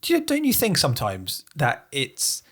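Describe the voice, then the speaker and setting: A man with a croaky voice from a cold, co-hosting a podcast in casual conversation. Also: fairly high pitch, huge pitch swings, normal speed. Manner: conversational, asks questions. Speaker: podcast co-host. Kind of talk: casual chat about racing.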